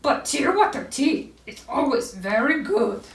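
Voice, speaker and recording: A woman voicing a self-pitying male owl from a storybook, with a drawn-out, plaintive delivery. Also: sits high, lively intonation, voice pushed up. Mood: contented. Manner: drawn-out, tearful wailing. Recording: roomy, distant from the mic.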